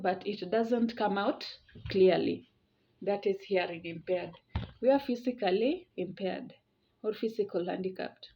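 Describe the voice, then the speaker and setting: A woman explains things informally to her viewers. She speaks slowly and haltingly, often repeating a phrase to stress it. Her medium-pitched voice comes through a slightly muffled, lo-fi recording. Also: mellow. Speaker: video presenter. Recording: dull lo-fi audio.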